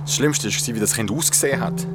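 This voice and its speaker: A man's voice: medium pitch, fast talker, natural voice. An actor with a Swiss German accent playing a shaken, foul-mouthed young man recounting his ordeal in an audio drama. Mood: shaken, haunted. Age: young adult. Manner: colloquial, swearing, dramatic. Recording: music behind the voice.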